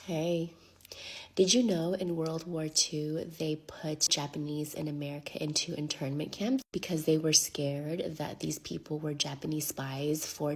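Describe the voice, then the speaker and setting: A woman's voice: sits low, a little mellow, slightly rough. A woman commentator asking pointed rhetorical questions.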